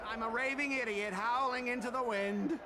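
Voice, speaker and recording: An actor playing an arrogant, humiliated corporate representative in a video game, theatrical and prone to stammering. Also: male voice, extremely high pitch, steady tone, moderate pace, background noise. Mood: grudging humiliation. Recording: background music under the voice.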